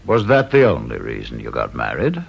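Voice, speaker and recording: Sardonic British security chief, gravelly, mock-polite radio drama villainy-free wit, dull old recording. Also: male voice, very deep voice, huge pitch swings, moderate pace, exaggerated voice. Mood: sardonic, probing. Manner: dry, teasing, mock-polite. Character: sardonic, talkative male senior security interviewer.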